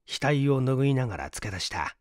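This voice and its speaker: Lively male audiobook narrator. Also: mid-range voice, normal speed, no room sound, studio quality. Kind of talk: scripted literary narration.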